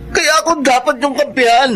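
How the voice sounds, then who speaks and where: A man's voice: very high-pitched, brisk, pushed and bright. A man playing a petulant, lovestruck youngest son with a whiny, pleading manner in a Filipino radio drama. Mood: sullen, aggrieved. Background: background music.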